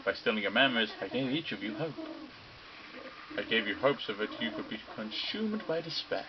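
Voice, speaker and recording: A man voicing a gleeful, sinister male robot bear headmaster who lectures in a mocking, theatrical, drawn-out way. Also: fairly low pitch, lively intonation, dull audio, crowd noise, lightly acted. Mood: sinister lecturing. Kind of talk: video game dub reading.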